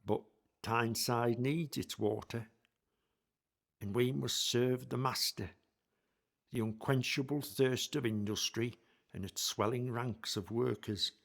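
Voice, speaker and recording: A man voicing a proud young Victorian tunnel digger who tells his tale in a radio play. His voice is gravelly, and he speaks slowly and reflectively, at a middling pitch. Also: fairly even intonation. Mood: wistful. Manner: slow, measured, reflective storytelling. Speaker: narrator in character. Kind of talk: community radio play narration.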